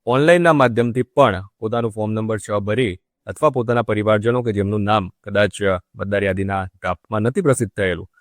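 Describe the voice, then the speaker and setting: A man speaking as a government official, giving a formal, measured public statement with repetitive official phrasing. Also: on the low side, dry recording, clean studio sound.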